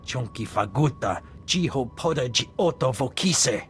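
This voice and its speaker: A man's voice: medium pitch, lively intonation, measured pace, soft background music, lightly acted. A man voicing an alien character in a video game, speaking in an invented alien tongue. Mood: matter-of-fact.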